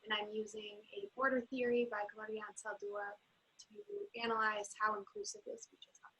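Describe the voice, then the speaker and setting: A young woman from Boston speaks in a bright, slightly breathy voice. She is plain and matter-of-fact as she sums up her studies and her thesis. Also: medium pitch, moderate pace, lo-fi sound. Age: young adult. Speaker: university student.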